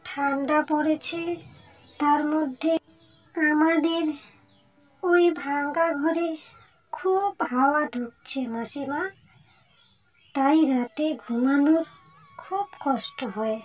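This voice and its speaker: A woman playing a poor, humble mother in a cartoon, pleading hesitantly and anxiously for her child. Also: sits high. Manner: hesitant, pleading, drawn-out delivery. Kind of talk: slow melodramatic cartoon dialogue.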